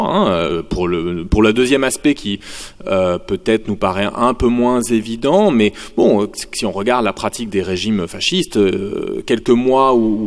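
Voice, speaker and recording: A male sociology lecturer giving a university conference talk, thinking aloud with frequent fillers and restarts. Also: fairly low pitch. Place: lecture hall. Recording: long hall reverb.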